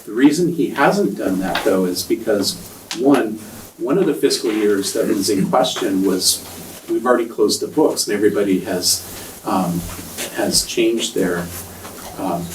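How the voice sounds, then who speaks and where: A soft-spoken man giving a budget presentation at a school board meeting, hesitant with many ums. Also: lowish voice, animated, measured pace. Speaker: school district finance presenter. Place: large meeting room. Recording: echoey room, distant mic, faint noise.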